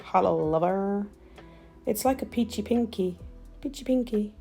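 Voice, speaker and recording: A woman with a stuffy, nasal voice, chatting warmly through a nail tutorial as she works. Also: mid-range voice, expressive, unhurried, creaky, soft background music. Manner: chatty, gushing, talks while working. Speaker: nail technician demonstrating gel polish. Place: home nail studio.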